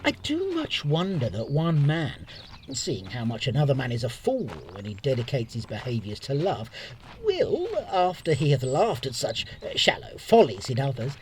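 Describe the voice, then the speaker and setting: A male actor plays a bemused, mocking bachelor soldier musing in a Shakespearean soliloquy. His theatrical, slightly high voice swoops hugely, with faint birdsong behind him. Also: darkish tone, a little hiss or hum, poor audio. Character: mocking bachelor soldier who scorns love, witty and bemused. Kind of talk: Shakespearean radio play soliloquy.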